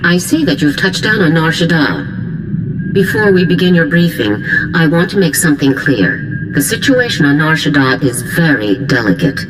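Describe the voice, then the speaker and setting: An actress playing a stern middle-aged female general in a video game, speaking in a formal, measured, authoritative way. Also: low-pitched, sing-song, noisy background. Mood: measured authority. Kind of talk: video game cutscene dialogue.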